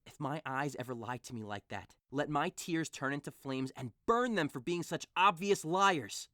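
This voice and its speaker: A man's voice: fairly high pitch, lively intonation, moderate pace, bright, forceful tone, dry recording, clean studio sound. A man playing a lovesick, melancholy young nobleman with dramatic, emotive delivery in an audio drama. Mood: passionate, indignant. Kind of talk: audio drama acting of modernized Shakespeare.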